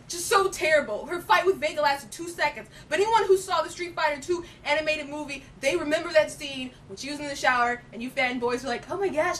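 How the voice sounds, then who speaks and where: A woman with a fairly high voice chats casually and emphatically about what she likes and dislikes, using plenty of slang. She sounds like an opinionated fan co-hosting a review. The recording is a little distant, with faint noise and rumble. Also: fairly bright tone. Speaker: co-host reviewing films.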